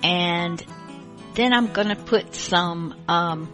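A woman hosting a radio show with casual, unscripted chat in a halting, playful way. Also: fairly low pitch, fairly bright tone, faint music behind.

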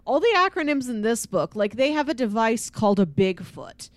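A woman's voice: fairly high pitch, animated, moderate pace, dry recording. A woman co-hosting a casual comic book discussion, explaining things in a conversational, wry way. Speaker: podcast co-host.

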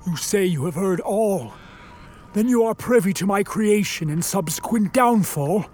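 A man's voice: high-pitched, lively intonation, unhurried, darkish tone. A man playing a remorseful created monster in a radio play, pleading in formal, eloquent, solemn speech. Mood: measured, grave.

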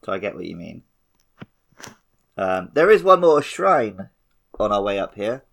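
A man's voice: fairly low pitch, very animated, measured pace, gravelly, a bit bright. A man co-hosting a casual podcast conversation about video games. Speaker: podcast host. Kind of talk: casual video game discussion.

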